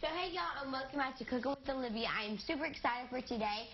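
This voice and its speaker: A woman's voice: high-pitched, quick pace. A teenage girl, chatting through a cooking demonstration on a studio kitchen set, upbeat and sometimes losing her words. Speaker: kid cooking segment presenter. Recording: slightly dull TV audio with faint noise.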